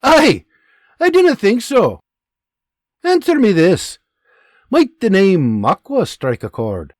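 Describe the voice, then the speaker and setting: A man voicing a gruff Scottish army sergeant who barks orders and scolds his men in a Scottish accent. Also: sits high, wildly swooping pitch, slow, dry recording, clean studio sound, voice pushed up, exaggerated voice. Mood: barking. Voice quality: barking, scolding.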